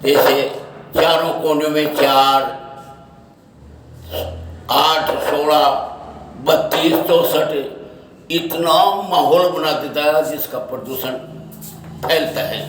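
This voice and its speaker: A man giving an unscripted opinion monologue in halting, short phrases, often repeating himself. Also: on the high side, fairly even intonation. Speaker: social media speaker giving his opinion. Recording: echoey, distant mic, faint noise.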